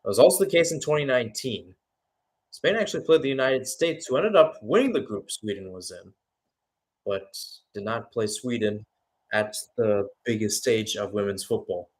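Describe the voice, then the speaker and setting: A man's voice: on the low side, lively intonation, normal speed. A man giving radio-style live play-by-play football commentary as the match commentator.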